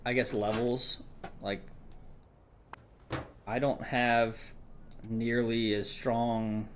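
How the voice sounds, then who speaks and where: A man's voice: medium pitch, little pitch movement, drawn-out words, a little hiss or hum. A young man from Georgia with a light Southern accent, chatting casually with stream viewers in a rambling, hesitant way full of restarts.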